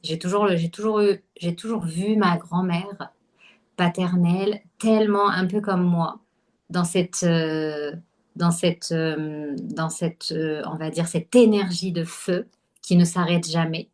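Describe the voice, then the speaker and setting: A woman from Algeria, a podcast guest, talking casually with many pauses and fillers. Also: lowish voice, steady tone, darkish tone. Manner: hesitant, many fillers and restarts. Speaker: podcast guest, holistic nutrition coach. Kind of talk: casual conversational interview.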